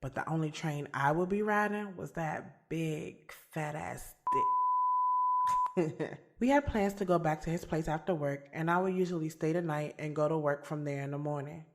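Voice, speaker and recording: A woman's voice: low voice, normal speed, a little mellow. A woman playing a frank, defensive young woman who narrates her own love-triangle drama in an audio series, with music under her voice. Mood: candid. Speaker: narrator and lead character. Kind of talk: audio drama acting with first-person narration. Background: background music.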